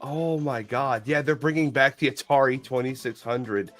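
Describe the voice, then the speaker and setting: A man with a head cold, losing his voice, chatting excitedly on a livestream, cursing and tripping over his words. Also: mid-range voice, normal speed, soft background music. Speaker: podcast co-host. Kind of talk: casual livestream chat.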